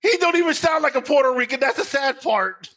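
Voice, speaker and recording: Man, high voice, lively swooping pitch, casual livestream banter, blunt, swears. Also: fairly quick. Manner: blunt, profane interjections. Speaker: livestream co-host.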